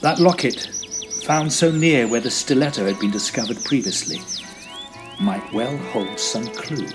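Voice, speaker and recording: A man playing an earnest Edwardian gentleman who tells his ghost-hunting tale in formal, suspenseful phrases, as audio drama. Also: mid-range voice, a little slow, close to an everyday voice. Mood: intrigued, grave. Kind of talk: dramatic first-person storytelling, audio drama. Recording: music bed under the voice. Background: music.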